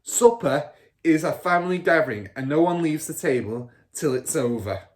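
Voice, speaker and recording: A man voicing a boastful, bullying car-dealer father in a storybook, loud and scornful. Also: fairly high pitch, expressive, normal speed, pitched above the performer's own voice, close to an everyday voice. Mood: angry, blustering.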